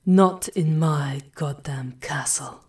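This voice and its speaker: A woman's voice: low voice, slow, darkish tone, breathy edge, no echo, exaggerated voice. A woman playing a commanding aristocratic vampire countess in an ASMR roleplay, with a theatrical, teasing menace. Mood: cold, menacing.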